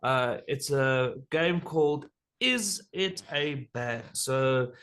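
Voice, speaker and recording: A South African man with Afrikaans as his first language, hosting a relaxed, joking interview. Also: medium pitch, takes it slowly. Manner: relaxed, joking, swears casually. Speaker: interview host. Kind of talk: casual interview.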